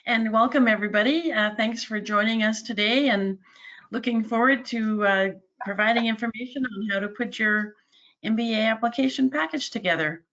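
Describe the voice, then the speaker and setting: A woman's voice: fairly high pitch, moderate pace, a bit bright. A woman, an academic advisor, greets an online audience politely with short hesitations. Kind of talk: brief webinar greeting. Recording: dull lo-fi webinar audio.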